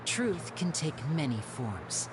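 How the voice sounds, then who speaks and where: A woman acting a suave, calculating young male stage magician in a video game, polite, measured and theatrical. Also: deep voice, very animated, noisy background, exaggerated voice. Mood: solemn, probing.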